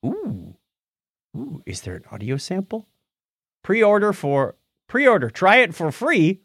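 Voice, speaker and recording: Lively, higher-pitched man co-hosting a podcast. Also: slow pace, no echo, clean studio sound. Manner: jokey, wordplay asides. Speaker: podcast co-host. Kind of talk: casual banter mixed with reading listener mail and history items.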